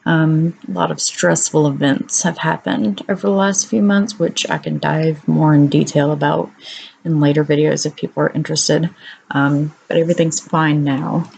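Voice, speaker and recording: A woman with a naturally deep voice talking candidly at home to her camera in a casual video diary, unhurried and full of ums. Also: fairly even intonation, gravelly, a little mellow, husky, poor audio. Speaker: vlogger.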